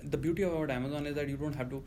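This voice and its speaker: A man's voice: a little high, steady tone, very fast, dark tone, a little hiss or hum. Indian man telling a profane story. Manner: profane, rambling, lots of you know fillers. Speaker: guest. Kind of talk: casual storytelling interview.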